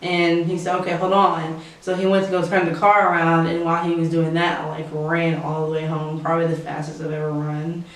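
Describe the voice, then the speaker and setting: Woman, 19, from Kentucky, hesitant, flat delivery, far off in a very echoey room. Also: low voice, brisk, few pauses. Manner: hesitant, many ums. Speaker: interviewee.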